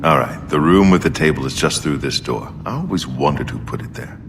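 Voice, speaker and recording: A man voicing a gruff, resolute seasoned warrior and secret agent in a fantasy video game. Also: rumbling low, animated, fairly quick, background noise. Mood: determined. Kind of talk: video game dialogue acting.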